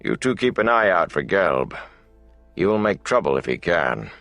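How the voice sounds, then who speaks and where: A man's voice: big pitch swings, normal speed, a bit bright, no echo, faint music behind, full character voice. A man voicing a wary old traveling entertainer in an audiobook, speaking in a terse, cautioning manner. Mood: curt, wary. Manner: terse, low-key, cautioning.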